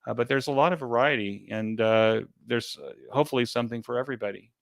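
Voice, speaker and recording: Man, clear resonant voice, medium pitch, informal explainer, halting and repetitive, event organizer, dry recording. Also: dry recording. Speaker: event organizer being interviewed.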